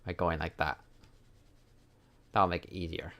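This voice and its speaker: A man's voice: low-pitched, level tone, unhurried, bright, forceful tone. A man hosting a livestream, chatting casually and thinking aloud in an offhand way as he works. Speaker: livestream host, 3D artist. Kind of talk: casual livestream chat while working.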